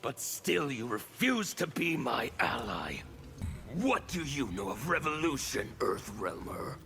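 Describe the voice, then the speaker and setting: A man voicing a fanatical, defiant revolutionary warrior in a video game, with a gravelly, menacing, declamatory delivery. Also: mid-range voice, very animated, unhurried, over music. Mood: menacing, bitter. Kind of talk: video game character dialogue.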